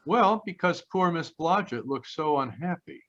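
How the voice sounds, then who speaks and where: A man's voice: on the high side, lively intonation, unhurried, fairly bright tone. Breezy wisecracking private eye, played by a man, dull audio. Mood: businesslike, wry. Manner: breezy, teasing. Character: wisecracking, flirtatious male private detective, cool and unflappable. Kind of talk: old-time radio detective drama acting. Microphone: video call. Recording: dull video-call audio.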